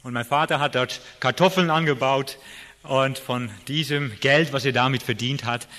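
A man's voice: medium pitch, moderate pace, a bit bright. A middle-aged man from the Netherlands, a native Dutch speaker with a Dutch accent, giving an informal personal talk as a guest speaker. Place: large reverberant hall. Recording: echoey hall with long reverb.

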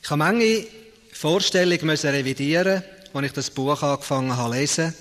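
A man's voice: on the high side, a little fast, fairly bright tone. A man with a Swiss German accent giving an informal spoken welcome as host to an audience, introducing guest speakers. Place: large reverberant hall. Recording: echoey hall with long reverb.

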